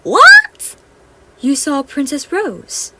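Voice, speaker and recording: A woman voicing an excitable, teasing little female fairy with a squealing, exclamatory manner. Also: high voice, drawn-out words, voice pushed up, full character voice. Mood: excited.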